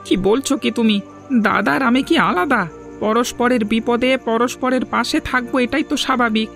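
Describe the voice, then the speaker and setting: A man's voice: very high voice, brisk, vocal fry. A man voicing an earnest, protesting young farmer, a younger brother. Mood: puzzled, earnest. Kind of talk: moral-story cartoon dubbing. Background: faint music.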